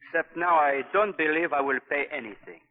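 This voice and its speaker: An actor playing a smooth, menacing French colonial police official in a 1940s radio drama, formal and measured. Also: male voice, fairly high pitch, close to an everyday voice. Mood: threatening. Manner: formal, measured, silkily threatening. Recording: tinny 1940s radio recording.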